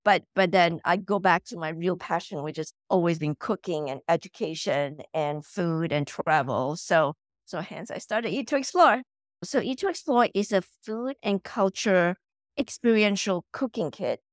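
A woman's voice: lowish voice, normal speed, dry recording, studio quality. Malaysian-born woman on a video call. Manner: animated, repeats words when starting sentences. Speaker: guest, company founder. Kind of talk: casual interview chat. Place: home room, video call.

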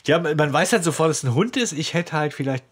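A man's voice: on the high side, lively intonation, fast talker, a bit bright, slightly rough. A man co-hosting a podcast in a casual discussion, sometimes reading aloud. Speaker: podcast co-host.

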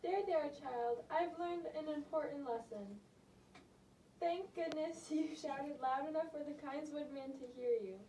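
A woman playing a gentle, fussing, kindly maternal figure in a children's fairy tale. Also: sits high, a little slow. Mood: soothing. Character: kindly, fretful mother and old granny of storybook. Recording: distant, echoey, some background noise.

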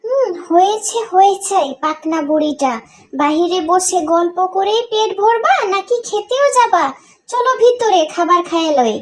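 A woman's voice: very high-pitched, unhurried. A woman voicing the caring, responsible elder daughter of a poor farmer in a cartoon, in a soothing, motherly manner. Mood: affectionate. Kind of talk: cartoon voice acting.

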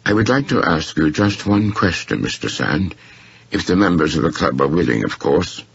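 A man voicing a courteous, shrewd, unflappable gentleman in a story, speaking formally and with deliberate precision. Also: deep voice, sing-song, gravelly, slightly rough, highs cut off, heavily acted. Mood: quietly firm.

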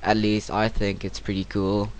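A man narrating a casual software tutorial in hesitant, informal, non-native-sounding English. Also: on the low side, normal speed, rough recording. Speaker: tutorial presenter. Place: home computer screen-recording voice-over. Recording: clear steady background noise.

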